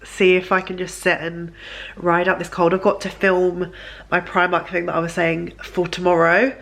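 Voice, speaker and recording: A woman's voice: lowish voice, completely flat delivery, moderate pace, gravelly, a bit bright. A woman, chatting casually in a vlog while ill, her voice congested and nasal. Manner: chatty, gushing, lots of fillers. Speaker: vlogger.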